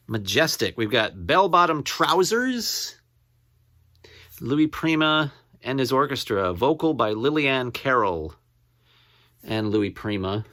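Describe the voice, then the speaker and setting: Unhurried, rambling man chatting casually. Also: medium pitch, fairly bright tone, no room sound, clean studio sound. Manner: rambling, halting, repeats titles as he reads them out. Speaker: record collector showing his finds. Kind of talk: casual show-and-tell to camera.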